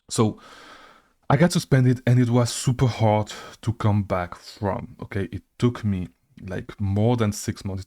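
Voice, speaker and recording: A young man with a fairly low voice talks through a hard stretch of his life. He rambles and restarts, correcting himself mid-sentence and tossing in "okay?" and "right?" to the viewer. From Belgium. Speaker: online seller and channel host. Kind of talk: casual personal story to camera.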